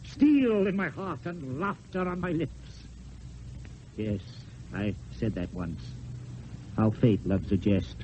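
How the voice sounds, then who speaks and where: An actor playing a proud, lovelorn, dying poet-swordsman in an old-time radio play, with theatrical, declamatory delivery and drawn-out poetic phrases. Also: mid-range voice, animated, background noise. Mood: wry, bitter. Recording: dull lo-fi old radio, music.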